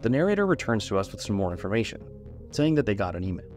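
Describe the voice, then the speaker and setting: A man's voice: fairly low pitch, very animated, quick pace, darkish tone, faint music behind. A man hosting a video essay, giving scripted narration and commentary.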